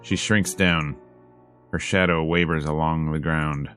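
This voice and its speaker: A man's voice: very deep voice, steady tone, unhurried, fairly bright tone, clean tone. A man streaming a visual novel, reading it aloud with wry, casual and exasperated asides. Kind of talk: let's play commentary and dramatic read-aloud. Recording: music playing behind.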